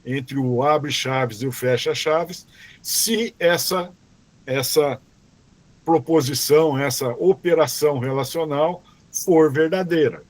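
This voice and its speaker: A man teaching a robotics class online, explaining code slowly and patiently, often checking in with "tá?" and "ok?" and correcting himself as he reads commands aloud. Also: on the high side. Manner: restarts and self-corrections while reading code aloud.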